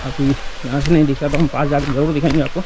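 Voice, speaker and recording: A man's voice: a little high, level tone, quick pace. Middle-aged guy vlogging a hike down to a waterfall, phone at arm's length, keeps saying look here, heavy outdoor noise. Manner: guiding viewers, repeatedly pointing things out. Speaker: family vlogger. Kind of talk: walking tour commentary. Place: outdoor hillside trail near a waterfall. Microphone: handheld phone at arm's length.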